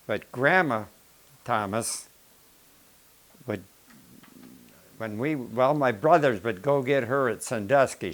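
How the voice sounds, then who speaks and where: A man's voice: mid-range voice, a bit bright, rough recording. Folksy older man telling family stories. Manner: plain-spoken, folksy, rambling with self-corrections. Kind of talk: casual family storytelling.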